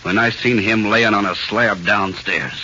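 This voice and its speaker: A vengeful, bullying American cop in a 1940s radio play. His low voice is hard and very sharp, talking in gruff slang on a dull old recording. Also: steady tone, unhurried, slight background noise. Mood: grim, vengeful. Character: hard, menacing, vengeful male police interrogator. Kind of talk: 1940s radio drama acting.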